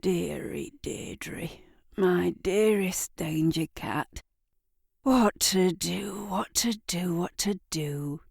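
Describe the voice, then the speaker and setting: A woman voicing a lonely, fretful man who mutters softly and fondly to his cat in a narrated audio drama. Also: lowish voice, very slow, vocal fry, breathy edge, no echo, clean studio sound, heavily acted. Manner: soft, muttering, gently fussy.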